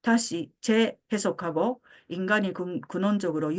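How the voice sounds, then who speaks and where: Older woman from japan lecturing slow, lots of uh pauses, normal pitch. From Japan (Osaka). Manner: frequent hesitation fillers, halting. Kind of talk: lecture on philosophy.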